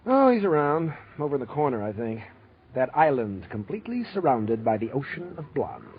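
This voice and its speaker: An actor playing an earnest, mild young hospital intern with a hesitant manner, in 1940s radio drama style. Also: male voice, mid-range voice, sing-song, moderate pace, natural voice. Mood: fond, easygoing. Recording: muffled, noisy old radio recording.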